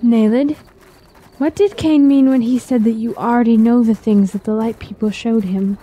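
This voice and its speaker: A woman playing a concerned, questioning member of a fantasy warrior people in a radio drama, speaking softly and earnestly. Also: a little high, fairly even intonation, moderate pace, natural voice.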